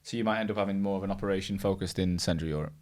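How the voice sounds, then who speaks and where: Low-voiced english guy hosting a podcast, talks fast, kinda thinking out loud. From England. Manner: quick, conversational, thinks out loud. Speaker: podcast host. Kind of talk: casual interview chat.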